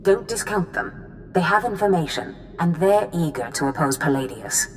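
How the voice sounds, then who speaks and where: Casting: actress for a calculating Sith mistress in a video game; deep for a woman, lively intonation, commanding. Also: normal speed, soft background music, natural voice. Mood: coolly calculating. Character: scheming Sith master, composed imperious woman. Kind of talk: scripted game dialogue.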